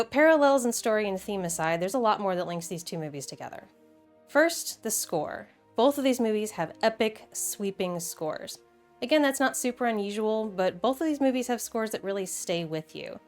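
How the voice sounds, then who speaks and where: A woman hosting a video essay in a casual, conversational and enthusiastic way, with wry asides. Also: mid-range voice.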